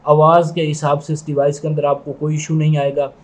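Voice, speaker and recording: A man's voice: fairly high pitch, flat delivery, brisk. A man giving product review commentary, explaining methodically and repetitively, step by step.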